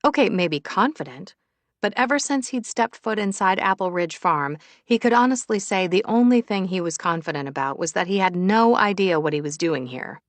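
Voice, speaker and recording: A woman's voice: mid-range voice, expressive, normal speed, no echo. A woman reading a romance novel aloud as a scripted audiobook narrator.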